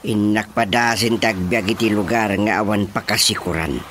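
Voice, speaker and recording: A man playing a stubborn old husband in an Ilocano radio comedy-drama, with an insistent, expressive delivery. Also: fairly low pitch, normal speed, a little hiss or hum, natural voice. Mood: determined. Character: stubborn old husband longing for a quiet place.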